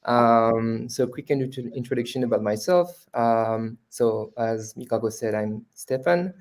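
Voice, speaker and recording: A man from France, an engineering manager, giving a halting self-introduction at an online panel with many pauses. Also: medium pitch, a little mellow. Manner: hesitant, many uh fillers.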